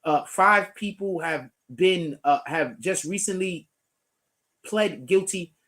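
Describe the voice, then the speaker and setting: A man's voice: sits high, slow pace, a bit bright. A man from the Bronx, hosting a casual stream and commenting on news with loose, profane chatter while he clicks through web pages. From the Bronx, New York. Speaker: streamer host.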